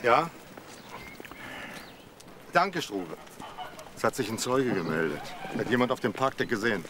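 A blunt, wry police detective, in a crime drama. His sceptical questions move up and down in pitch over clear background noise. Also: big pitch swings, measured pace. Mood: probing.

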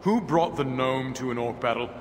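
An actor playing a vain, boastful warrior lord in a video game, with pompous, theatrical taunts. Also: male voice, medium pitch, normal speed, lightly acted. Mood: mocking. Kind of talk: video game character voice lines. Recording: echoing game audio with background noise.

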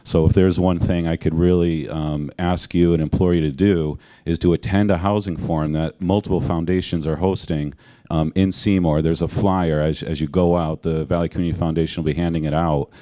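A man's voice: deep voice, fairly even intonation, brisk, dark tone. A man speaking as a panelist at a community event, urging and pleading with the audience. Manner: urgent, pleading. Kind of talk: panel discussion answer. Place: auditorium stage panel.

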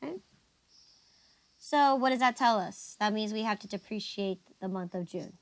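A woman's voice: mid-range voice, normal speed, no room sound. A woman walks a student through a worksheet with patient, probing questions, and she checks each answer before moving on. Her voice is dry and close, with no room sound around it, picked up by a computer mic on a video call. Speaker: accounting tutor.

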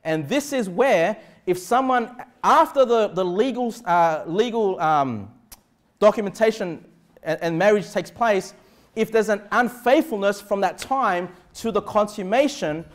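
A man's voice: high voice, lively intonation, measured pace. A middle-aged Australian man preaching a sermon as a pastor, emphatic yet conversational, often checking that listeners follow. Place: indoor church pulpit in a small room. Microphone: clip-on lapel mic.

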